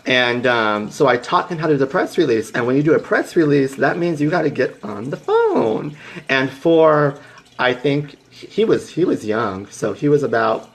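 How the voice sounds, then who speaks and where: A man in his twenties casually telling a motivational story to live viewers. He rambles with restarts, jumps into high excited tones and breaks off to greet viewers by name. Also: moderate pace, creaky. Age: 20s. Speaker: livestream host.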